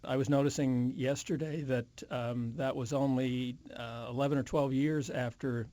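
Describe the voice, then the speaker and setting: An older man as an interview guest, reflecting in a measured way with careful word choice. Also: mid-range voice, steady tone, dark tone, no room sound.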